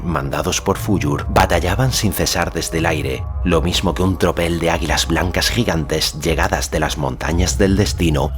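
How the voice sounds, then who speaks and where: Very deep-voiced male audiobook narrator. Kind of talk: scripted dramatic story narration.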